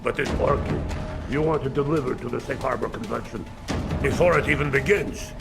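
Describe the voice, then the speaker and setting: A man's voice: mid-range voice, a little fast, gravelly, close to an everyday voice. A man playing an anxious criminal underling in a video game, urgently pleading for caution. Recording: music and gunfire behind.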